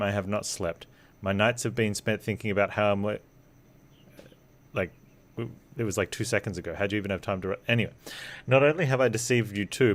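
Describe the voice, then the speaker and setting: A man streaming a video game, commenting casually and reading game text aloud. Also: on the low side.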